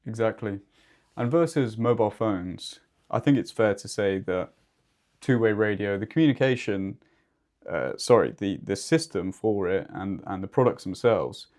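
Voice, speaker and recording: A man co-hosting an informal podcast discussion, summing up points in a measured way. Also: on the low side, animated, a little mellow. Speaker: podcast co-host.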